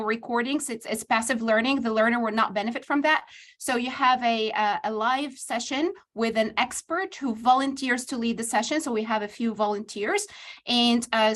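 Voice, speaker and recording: A woman's voice: fairly high pitch, a bit bright. Woman explaining over a call. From Tunisia. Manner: many fillers and restarts, earnest and explanatory. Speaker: university professor and AI trainer. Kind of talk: spontaneous explanation in a virtual panel.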